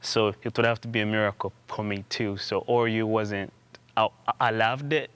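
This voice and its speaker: Low-voiced young man from Uganda. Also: fairly even intonation, moderate pace, a bit bright, clean tone. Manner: hesitant, frequent restarts and repeated words. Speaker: interview guest, theology student. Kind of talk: conversational interview.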